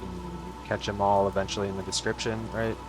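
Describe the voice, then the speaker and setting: Low-voiced man chatting casually over music. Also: level tone, a little fast, poor audio. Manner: informal, rambling, self-deprecating. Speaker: channel host. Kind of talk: casual unscripted outro chat.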